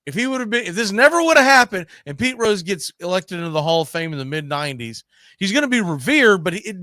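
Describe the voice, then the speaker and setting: A man's voice: high voice, pushed and bright, no echo. A man hosting a casual sports talk show, arguing emphatically and posing rhetorical questions.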